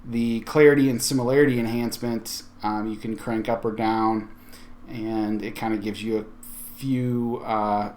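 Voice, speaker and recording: A man giving an informal, conversational software walkthrough as a tech video host, thinking aloud as he goes. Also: lowish voice, fairly even intonation, moderate pace. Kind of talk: casual software tutorial walkthrough.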